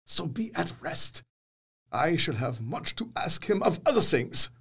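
An actor playing an effusive, courtly older foreign doctor in a gothic audio drama, with florid, earnest phrasing. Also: male voice, on the high side, very animated, measured pace, creaky, fairly bright tone, husky, heavily acted. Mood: assuring, emphatic.

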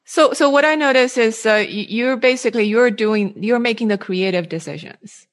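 A woman conducting a casual interview, phrasing her thoughts haltingly and repeating words as she goes. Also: a little high.

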